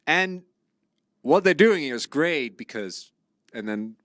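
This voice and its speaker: Male esports caster, tired, very animated pitch, dry close mic. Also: takes it slowly, no echo. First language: Dutch. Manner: animated, laughing, thoughtful. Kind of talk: casual broadcast banter.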